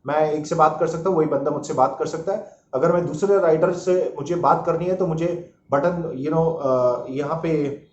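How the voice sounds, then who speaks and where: A man's voice: on the high side, steady tone, moderate pace, darkish tone. A man giving a casual, informal product review as a vlogger, mixing English phrases into his explanations. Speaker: motorcycle vlogger reviewing gear. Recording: echoey room, dull muffled sound, faint noise.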